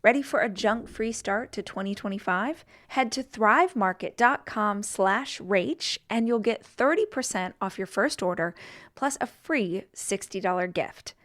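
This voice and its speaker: A woman reading a sponsor ad in an upbeat way, slowing down to spell out a web address. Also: medium pitch, lively intonation, fairly bright tone. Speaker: host reading an ad. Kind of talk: read sponsor ad.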